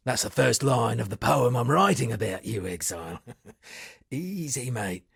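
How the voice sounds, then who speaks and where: Gravelly, theatrical shipwrecked sea captain. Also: male voice, medium pitch, lively intonation, unhurried, fairly bright tone, dry recording, clean studio sound, heavily acted. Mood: theatrical, rueful. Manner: florid, dramatic, alliterative declaiming. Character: eccentric male shipwrecked sea captain and pompous amateur poet. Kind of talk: theatrical video game character dialogue.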